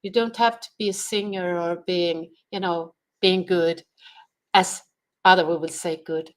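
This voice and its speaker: Slow, halting woman guest. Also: lowish voice, steady tone. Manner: slow, halting, searching for words. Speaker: guest, sound healer. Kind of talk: conversational interview answers.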